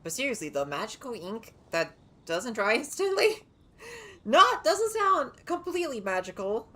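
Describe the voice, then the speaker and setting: A woman's voice: on the high side, big pitch swings, normal speed, sharp tone. A woman livestreaming a game with casual, chatty, rambling commentary and reactions. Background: game music.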